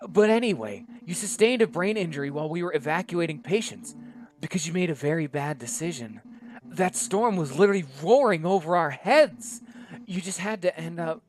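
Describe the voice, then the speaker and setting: A man's voice: fairly high pitch, lively intonation, normal speed, pitched above the performer's own voice, lightly acted. Man voicing a bubbly young woman doctor. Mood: chiding. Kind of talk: one-man voice acting.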